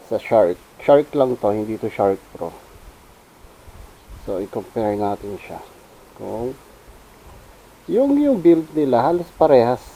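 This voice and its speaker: Dark-voiced, slow-paced Filipino man reviewing gear. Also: mid-range voice, slight background noise, poor audio. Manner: informal, switches between Tagalog and English. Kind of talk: casual unscripted product review.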